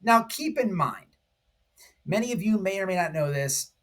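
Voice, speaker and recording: A man's voice: high voice, lively intonation, normal speed, fairly bright tone. Emphatic man doing casual commentary. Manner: emphatic, slangy, repeatedly checks in with "right?". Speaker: YouTube commentary host. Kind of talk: informal true crime video commentary.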